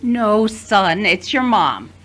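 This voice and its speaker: A woman's voice: medium pitch, sing-song, slow, a bit bright, heavily acted. A woman acting in an audio drama as a middle-aged mother, motherly and loving with a dry, hesitant manner. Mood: worried. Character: worried, dryly loving middle-aged mother of grown children.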